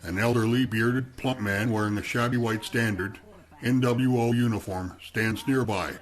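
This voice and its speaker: A man narrating the scenes of a radio play in a measured, descriptive way. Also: mid-range voice, fairly even intonation.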